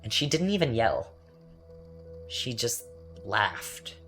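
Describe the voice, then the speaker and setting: A man acting as a bitter, taunting young man in a confessional dramatic monologue, with a sardonic, halting, conversational delivery. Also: mid-range voice, big pitch swings, sharp tone, exaggerated voice. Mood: hurt, simmering. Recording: music under the voice. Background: music.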